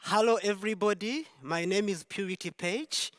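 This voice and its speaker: A woman's voice: mid-range voice, moderate pace. A woman from Uganda, giving an emphatic, heartfelt personal testimony on a concert hall stage, repeating key phrases for weight. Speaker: speaker at a Pride event.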